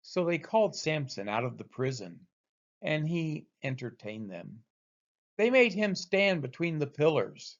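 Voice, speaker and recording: A man reading scripture aloud as expressive narration, with deliberate, dramatic emphasis on quoted speech. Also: on the high side, dull audio. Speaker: scripture reader.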